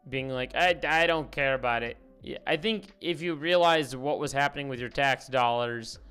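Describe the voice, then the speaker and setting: A man's voice: medium pitch, a bit bright, smooth. Young man ranting bluntly on stream. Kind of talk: casual stream commentary.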